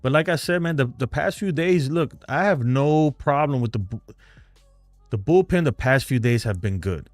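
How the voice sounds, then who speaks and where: A man's voice: medium pitch, expressive, moderate pace, dry recording, faint music behind. A man with a New York accent hosting a fan live stream, chatting loosely and rambling as he answers viewer comments. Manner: rambling, talkative, loose and frank.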